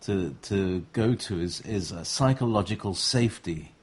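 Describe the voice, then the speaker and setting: Low-voiced guy hosting, talks super slow, lots of ums. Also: slightly rough. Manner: slow, measured, with fillers. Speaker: host. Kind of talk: talk show interview.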